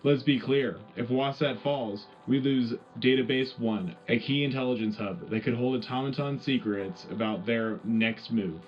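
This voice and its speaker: A man's voice: medium pitch, moderate pace, few pauses, close to an everyday voice. A man playing a folksy, rallying wartime radio news host delivering a scripted war bulletin. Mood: rallying, confident. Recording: muffled lo-fi radio sound with music behind.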